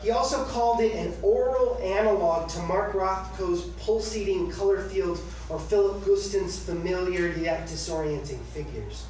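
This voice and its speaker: A man's voice: high-pitched, moderate pace, hardly any pauses, a little mellow. A man in his thirties giving a live literary reading of his own personal essay in a conversational, wry way. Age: 30s. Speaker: author reading from own memoir. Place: indoor book-launch reading room with audience. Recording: echoey room from far off, faint noise.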